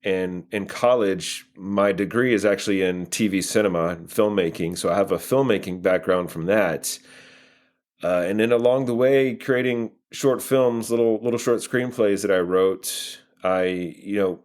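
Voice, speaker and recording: A man in his forties with a low voice answers as an interview guest. His talk is casual and reflective, and he comes across as modest and self-deprecating. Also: moderate pace, a little mellow. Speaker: podcast guest, playwright and actor.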